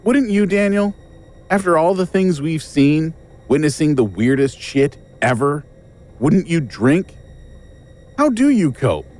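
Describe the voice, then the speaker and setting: A man's voice: on the high side, big pitch swings, unhurried, a little mellow. A man playing a bewildered, defensive, world-weary investigator in an audio drama, with an agitated, emphatic delivery.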